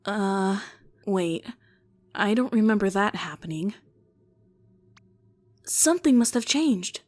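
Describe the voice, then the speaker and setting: A woman acts a weary, wry doctor in a fan-dubbed game. She has a medium-pitched voice and mutters to herself as if fed up and puzzling things out. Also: expressive, slow, a little mellow, no room sound. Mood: puzzled. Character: weary, wry female doctor working on memories. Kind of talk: amateur game fan dub.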